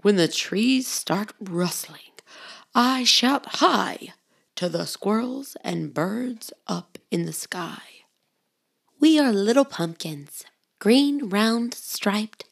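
A woman voicing a cheerful, proud little pumpkin in a gentle singsong children's rhyme. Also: mid-range voice, animated, slow pace, creaky, husky, full character voice. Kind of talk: children's rhyme storybook reading.

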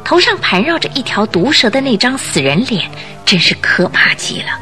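A woman's voice: on the high side, moderate pace. A woman narrating a radio detective drama, telling the story in a dramatic, suspenseful way. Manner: expressive, suspenseful delivery. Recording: music under the voice.

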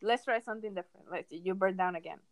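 A woman's voice: medium pitch, fast talker, dry recording. Dry-sounding Venezuelan woman co-host. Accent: Venezuelan Spanish. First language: Spanish. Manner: teasing, steering the talk. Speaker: podcast co-host. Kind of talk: casual chat.

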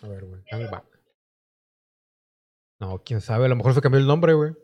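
Mexican male streamer host, crude rambling jokes, slangy casual banter, average pitch. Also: animated, normal speed, lo-fi sound.

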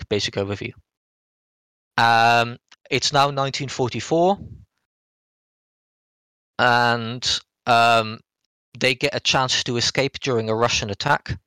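A man co-hosting a podcast, casually reviewing a game in a halting, rambling way with frequent hedging and rephrasing. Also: mid-range voice, sharp tone, dry recording. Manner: hesitant, halting delivery, often hedging and rephrasing.

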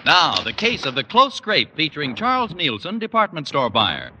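A man's voice: fairly high pitch, huge pitch swings, normal speed, bright, forceful tone, slight background noise. A man with an American accent announcing in a polished, declamatory old-time radio style. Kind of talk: 1940s-50s radio announcing.

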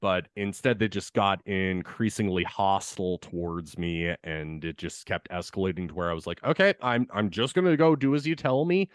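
A young man with a deep voice, casually telling a work story on a podcast and swearing as he vents. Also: moderate pace, hardly any pauses, no echo. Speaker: podcast co-host.

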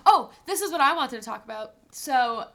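A woman's voice: high voice, lively intonation, normal speed, pushed and bright. A young woman co-hosting a podcast with a stuffy nose, chatting casually and laughing often while telling stories. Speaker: podcast co-host. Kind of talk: casual chat.

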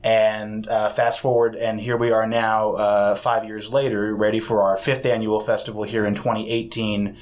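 A man with a fairly low voice talks about the arts festival he directs. He explains things at length in an easygoing, affable way, dotting his answers with "uh" and restarts. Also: little pitch movement, moderate pace, few pauses. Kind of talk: casual interview answers.

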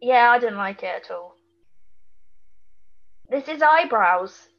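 British female podcast co-host, higher voice, colloquial and opinionated, casual recap style, slightly dull lo-fi sound. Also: expressive, takes it slowly. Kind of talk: casual chat, TV episode recap.

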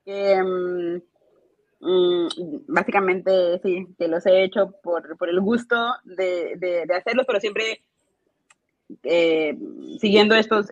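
Woman explaining slowly, dull dry sound. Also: fairly low pitch, dry recording. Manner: hesitant, many fillers and restarts, explanatory. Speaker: instructional designer guest. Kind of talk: casual interview answers.

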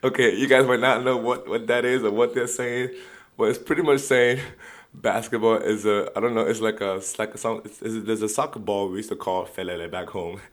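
Young guy with a lowish voice, rambling, restarts a lot, laughs a bunch. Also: fairly even intonation, a little fast. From Nigeria. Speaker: vlogger. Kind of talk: casual storytelling.

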